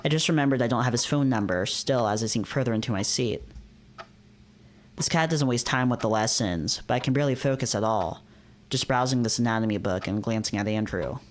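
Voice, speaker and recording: A man's voice: mid-range voice, a little fast. A man reading visual novels aloud and chatting casually as a streamer, often repeating himself in informal slang. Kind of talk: casual gaming chat and read-aloud narration.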